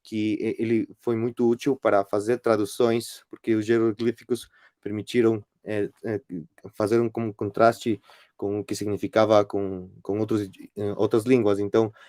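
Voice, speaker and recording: Guest lecturer, middle-aged man, Spanish accent, lowish voice, dry close sound, halting delivery. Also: flat delivery, warm tone, no room sound. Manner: hesitant, many restarts and fillers. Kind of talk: online workshop presentation.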